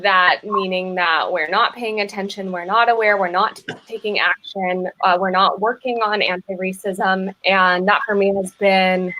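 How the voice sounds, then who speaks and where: A woman with a medium-pitched voice gives earnest personal remarks. She picks her words carefully, with some halting. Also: steady tone, bright, forceful tone, a little hiss or hum, poor audio. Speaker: actor in an online play reading.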